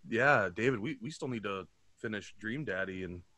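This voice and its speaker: A man's voice: on the low side, normal speed, sharp tone, dry recording, studio quality. A man chatting casually as a member of a livestream panel. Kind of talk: casual chat.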